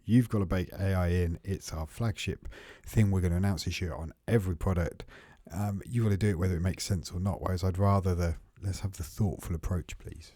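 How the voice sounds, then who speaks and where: A man's voice: low-pitched, warm tone, husky, no echo. A man co-hosting a casual tech podcast, thoughtful and a little hesitant, with frequent ums. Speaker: podcast co-host.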